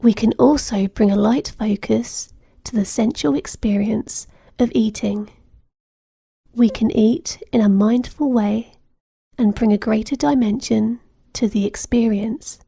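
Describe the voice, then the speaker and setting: A woman leads a guided meditation, gently and soothingly. Her voice is breathy with a touch of fry at times, and she sounds close and dry, with no room around her. The instructions are calm and measured. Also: on the high side, no room sound.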